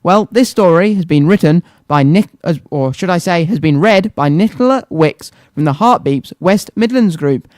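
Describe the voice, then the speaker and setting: A man hosts a children's radio show. His voice is quite high, clean and smooth, recorded close and dry with little room sound. He addresses his young listeners directly, often repeating himself and putting questions to them. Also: expressive, moderate pace, hardly any pauses, darkish tone, no room sound. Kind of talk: scripted-sounding live radio presenting to children.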